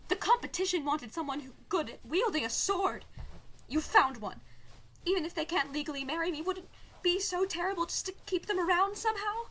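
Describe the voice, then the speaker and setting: A woman's voice: very high voice, lively intonation, brisk, fairly bright tone. A woman playing a young, rebellious princess in a fantasy audio drama, headstrong, pleading and emphatic. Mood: protesting.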